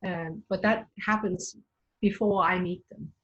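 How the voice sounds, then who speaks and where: A woman's voice: fairly low pitch, fairly even intonation, unhurried, slightly rough, dull audio. A woman whose first language is Danish, a tour guide and performer, talking in a conversational interview with frequent hesitations and restarts. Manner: hesitant, restarts often, laughs lightly.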